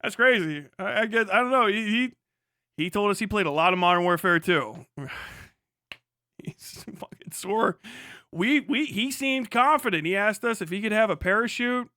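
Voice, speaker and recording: A man's voice: high voice, animated, moderate pace, pushed and bright, no room sound, clean studio sound. A man doing casual, joking reaction commentary as a live streamer, sarcastic and swearing freely.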